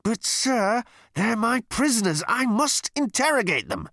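Shrieking, fanatical officer. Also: male voice, huge pitch swings, unhurried, a bit bright, dry recording, studio quality, full character voice. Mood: shrill furious. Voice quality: shrill squealing. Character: shrieking fanatical male officer, petty and furious.

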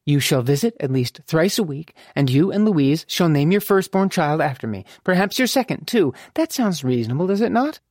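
A woman's voice: deep voice, sing-song, moderate pace, few pauses, a little mellow, no echo, studio quality, voice pushed up. An audiobook narrator voicing a fond, wry older mother, a formal and imperious woman who teases as she talks. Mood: mock imperious. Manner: formal, crisp, teasing phrasing. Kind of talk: audiobook character dialogue.

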